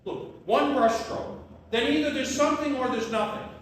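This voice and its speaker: An actor on stage playing an artist's philosophical, coaxing friend, with pointed questions and short emphatic statements. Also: male voice, very high-pitched, moderate pace. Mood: insistent, coaxing. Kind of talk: live stage play acting. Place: tavern theater performance, recorded from a distance. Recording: echoey room, far-off mic, faint noise.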